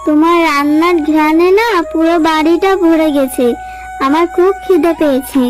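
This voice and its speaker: A woman's voice: sits high, steady tone, moderate pace, faint music behind, close to an everyday voice. A woman voicing a sweet, pleading little fox girl in a cartoon, with a childlike, coaxing manner. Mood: sweet, eager. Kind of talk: cartoon dubbing.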